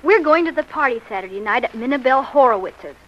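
An actress playing a pleading, dramatic young daughter in a 1940s radio comedy. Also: female voice, high voice, lively intonation, fast. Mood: whiny pleading. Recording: muffled noisy vintage radio recording.

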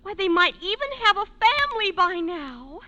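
Eager schoolgirl, piping high voice, bright, gossipy, old radio comedy, slight hiss. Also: sing-song, moderate pace, highs cut off, full character voice. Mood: curious, teasing. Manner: girlish, gossipy. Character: eager, gossipy teenage schoolgirl, principal's daughter. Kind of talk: 1940s-50s radio comedy acting.

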